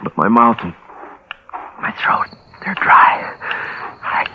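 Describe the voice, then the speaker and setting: An actor playing an exhausted, frightened man stranded on an island, narrating haltingly in a 1950s radio drama, brooding and prone to panic. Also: slight background noise, lightly acted. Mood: shaken, despairing. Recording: muffled old radio recording with music behind.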